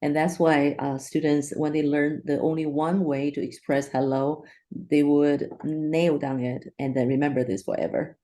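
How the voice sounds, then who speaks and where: A woman's voice: low voice, little pitch movement, moderate pace, mellow. A woman teacher speaking hesitantly with fillers in a group discussion.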